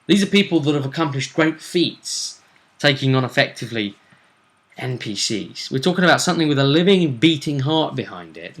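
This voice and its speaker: A man's voice: mid-range voice, very animated, fairly quick. A man hosting a tabletop role-play show, casually talking through match-ups and often rephrasing as he explains.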